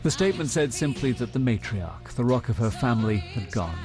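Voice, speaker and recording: A man's voice: mid-range voice, sing-song, fairly quick. A British man narrating a scripted television news report as its reporter. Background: music.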